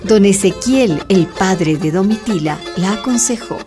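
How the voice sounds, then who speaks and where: A woman's voice: mid-range voice, animated, unhurried, music in the background. Woman narrating a radio drama.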